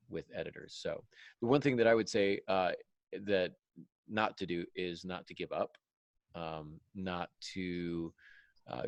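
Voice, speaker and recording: A man with a very low voice hosts a casual writing discussion. The sound is dry, with little room in it. He feels his way through phrases and repeats points for emphasis. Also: no echo. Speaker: podcast host and fiction writer. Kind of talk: casual podcast discussion giving writing advice.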